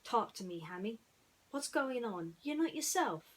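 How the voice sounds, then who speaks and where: A woman's voice: a little high, lively intonation, moderate pace, a little hiss or hum, voice pushed up, lightly acted. Storybook toy cowboy played by a woman: male, friendly, concerned for his pal, lifted and emphatic delivery. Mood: concerned, coaxing.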